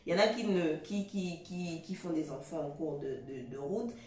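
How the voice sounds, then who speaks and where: A woman's voice: lowish voice, animated, moderate pace, mellow, reverberant, recorded from a distance. A woman hosting a casual interview, friendly and chatty, often laughing and repeating words as she searches for them. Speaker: host.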